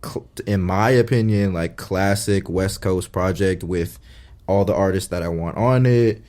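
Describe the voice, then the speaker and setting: Young male DJ guest, deep warm voice, laid-back filler-heavy talk. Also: unhurried. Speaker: guest DJ and producer. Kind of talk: casual interview chat.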